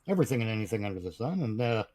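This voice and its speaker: A man's voice: on the low side, big pitch swings, rapid-fire, darkish tone, no room sound. Casual man, dry close sound. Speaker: panel member promoting shows. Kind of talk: casual announcement chat.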